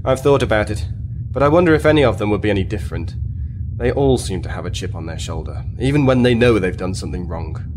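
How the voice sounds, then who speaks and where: An actor playing a weary, commanding military captain in a science fiction audio drama, giving brisk, authoritative orders. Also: male voice, on the low side, expressive, noisy background, lightly acted. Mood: weary, dry. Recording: music behind the voice.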